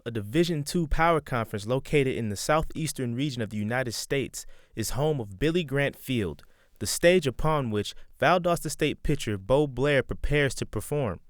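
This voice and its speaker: A young man reading a scripted sports feature voice-over as student reporter, in a measured, dramatic delivery. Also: mid-range voice, animated, no echo, clean studio sound. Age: young adult.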